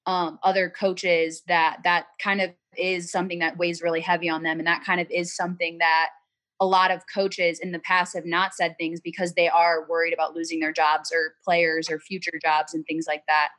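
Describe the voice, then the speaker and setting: Low, flat-voiced woman moderator. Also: normal speed. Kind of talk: moderating a video call panel. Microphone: video call.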